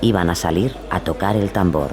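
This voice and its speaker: A man's voice: low-pitched, normal speed, over music. A man with a Spain accent narrating a scripted true-crime case. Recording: clear background ambience noise.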